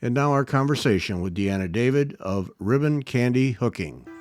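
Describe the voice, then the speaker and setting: A man hosting a podcast and interviewing a guest, smooth on sponsor reads and halting when he asks questions. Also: medium pitch, expressive, a little mellow, no echo, clean studio sound, soft background music. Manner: halting, restarts and fillers when asking. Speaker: podcast host.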